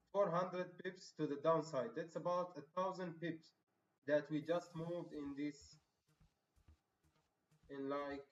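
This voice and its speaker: A man from Egypt commenting casually on a live stream, talking to viewers as bro and lecturing them on discipline. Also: a little high. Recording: roomy, distant and dull with faint music.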